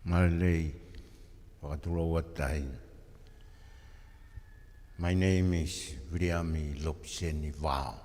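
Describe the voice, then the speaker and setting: Casting: Tongan man, seventies, bass voice, measured formal introduction in a big echoing hall. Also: steady tone, a little mellow. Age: 70s. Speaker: retired man leading prayer. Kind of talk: formal self-introduction to a meeting. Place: community hall. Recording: echoey hall, background noise.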